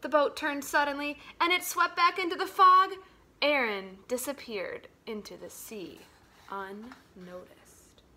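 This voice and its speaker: A woman reading a children's picture book aloud as a teacher would, dramatically, dropping to a hush and swelling for emphasis. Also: high voice, a little slow, a little hiss or hum. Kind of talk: expressive children's storybook read-aloud.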